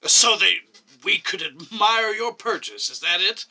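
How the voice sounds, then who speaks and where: A man's voice: high-pitched, animated, a little slow, sharp tone, voice pushed up, heavily acted. A man voicing a stern, sarcastic commission chairman who questions pointedly and overbearingly in a short story reading. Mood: stern, scornful.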